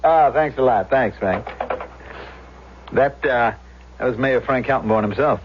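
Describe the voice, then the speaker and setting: An actor playing a vain, anxious small-town fire chief and husband in a 1970s radio drama. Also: male voice, mid-range voice, measured pace, close to an everyday voice. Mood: eager, boastful. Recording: dull, noisy old radio recording with hum.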